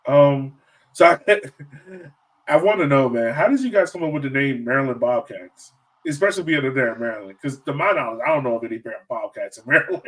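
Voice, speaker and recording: A man's voice: medium pitch, animated, a little fast, fairly bright tone, rough recording. An American man interviewing a guest as podcast host in informal, slangy talk with plenty of "man" and "yo". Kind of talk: casual interview chat.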